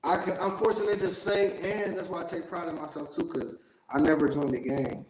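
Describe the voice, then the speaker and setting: High-pitched American man, echoey room. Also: steady tone, fairly quick, darkish tone. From Youngstown, Ohio, United States. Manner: rambling, emphatic storyteller. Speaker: interview guest. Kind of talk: casual interview chat. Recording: roomy, echoey sound.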